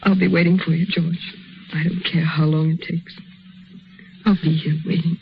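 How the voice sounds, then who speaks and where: A woman's voice: on the low side, level tone, moderate pace, faint music behind, close to an everyday voice. An actress playing a devoted young woman in love, tender and pleading, in theatrical 1930s radio drama style. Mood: tender, wistful. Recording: muffled, noisy 1930s radio recording.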